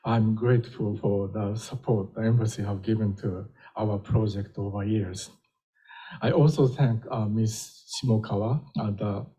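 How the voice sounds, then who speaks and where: An older man gives a public lecture, gentle and wry with small pauses. His voice is low, mellow and husky. He speaks very slowly. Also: poor audio. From Japan. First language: Japanese. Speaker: professor giving a lecture.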